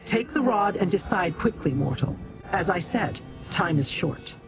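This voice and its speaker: Grave, formal mystic guide. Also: female voice, lowish voice, expressive, measured pace. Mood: grave, urgent. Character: solemn, urgent mortal-addressing mystic guide and schemer. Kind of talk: fantasy video game acting. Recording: game music behind.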